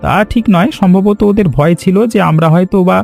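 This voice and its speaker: A man voicing an earnest, kindly but anxious elder brother in an animated story. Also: sits high, a little fast, soft background music. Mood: reassuring.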